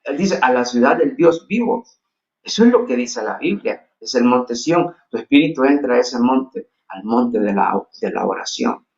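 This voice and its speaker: A man's voice: medium pitch, expressive, normal speed, a little mellow, dull audio. A man from Honduras with a Honduran Spanish accent, preaching a sermon earnestly with frequent restarts and fillers. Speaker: pastor.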